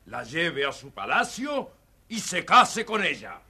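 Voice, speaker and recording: A man's voice: on the high side, wildly swooping pitch, takes it slowly, gravelly, background noise, exaggerated voice. A man playing a small, stern spell-casting gnome, solemn and declamatory, in a children's audio fairy tale. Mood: solemn, proclaiming. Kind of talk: scripted children's audio storybook acting.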